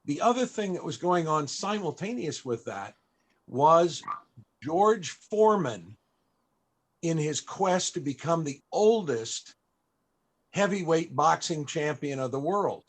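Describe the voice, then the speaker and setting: Higher-pitched man hosting a panel. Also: expressive, slow. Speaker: panel interviewer, entertainer. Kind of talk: conversational panel interviewing.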